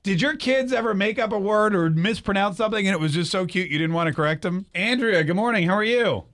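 A man, perhaps middle-aged, hosting a morning radio show with casual, animated, teasing banter and chats with callers. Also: high voice, fast talker.

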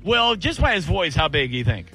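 A man's voice: fairly high pitch, very animated, brisk, bright, forceful tone, soft background music. A man hosting a radio show, teasing a caller in crude, playful banter. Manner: teasing, playful, mock-hyped. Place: radio studio.

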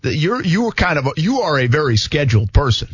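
A man's voice: fairly high pitch, sing-song, a little fast, slightly rough, no room sound. A man in his thirties hosting a sports radio show, chatting casually and rambling with many fillers and restarts. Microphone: studio microphone. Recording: muffled radio studio mic.

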